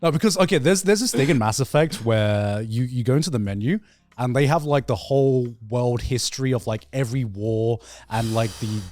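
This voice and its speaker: A man's voice: medium pitch, brisk, darkish tone, dry recording. A man co-hosting a podcast, debating casually and repeating words as he works out his point. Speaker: podcast host.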